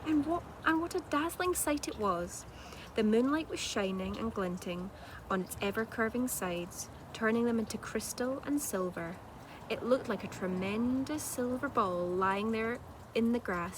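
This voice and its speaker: A woman with a slightly high voice reads a children's story aloud. Her delivery is measured and dramatic, like a teacher's. There is clear noise in the recording. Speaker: primary school teacher reading aloud. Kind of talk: expressive children's storybook narration. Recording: clearly noisy home-made recording.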